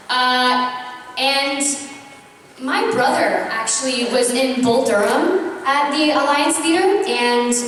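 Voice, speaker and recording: A woman's voice: high-pitched, a bit bright, distant mic, slight background noise. A young girl from Atlanta, Georgia, answering eagerly and at length on a panel. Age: teen. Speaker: child actress panelist. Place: large convention hall. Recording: echoey hall from afar.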